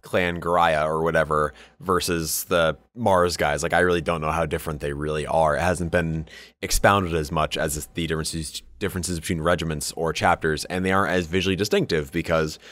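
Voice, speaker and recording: A man's voice: a bass voice, fairly even intonation, brisk, fairly bright tone, no room sound. A man co-hosting a podcast in casual, informal chat, explaining things with some swearing. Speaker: podcast co-host. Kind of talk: casual chat between friends.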